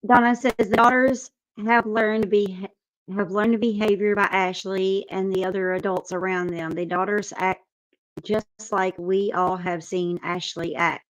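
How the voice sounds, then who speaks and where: A woman's voice: medium pitch, fairly even intonation, a little slow, poor audio. A woman reading out viewer comments and responding with her own view.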